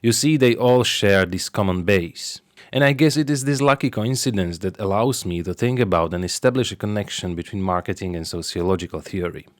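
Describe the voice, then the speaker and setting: Slovak-accented man, low and dry. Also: brisk, dry recording. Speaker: sociologist and marketer presenting his channel. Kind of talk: scripted explanatory monologue.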